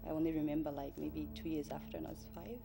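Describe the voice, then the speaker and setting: A woman's voice: deep voice, flat delivery, quick pace, creaky. A woman in her early thirties with a Zimbabwean accent, a native Shona speaker, giving a hesitant, reflective personal testimony. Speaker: church member telling her life story. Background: music.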